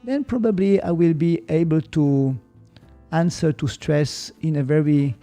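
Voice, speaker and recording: A man with a Swiss German accent, explaining ideas on a podcast in a slow, reflective way and sometimes searching for English words. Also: a little high, warm tone, faint music behind. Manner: slow, thoughtful, gets stuck on English words. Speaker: podcast guest, martial arts teacher.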